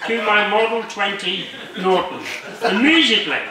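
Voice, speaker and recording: Older man, high-pitched, in echoey tent. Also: normal speed, crowd noise. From Ireland. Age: 60s or older. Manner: rambling, storytelling. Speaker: club speaker and musician. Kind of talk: informal anecdotal speech to a gathering. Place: inside a marquee at a club rally. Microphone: handheld microphone through PA, captured by distant camera. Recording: echoey, noisy PA recorded from far off.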